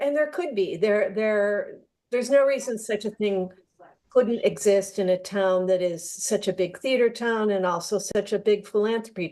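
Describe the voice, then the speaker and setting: A woman in a panel discussion, speaking in long, measured sentences and hesitating as she searches for words. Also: medium pitch, dark tone. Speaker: theatre panel participant.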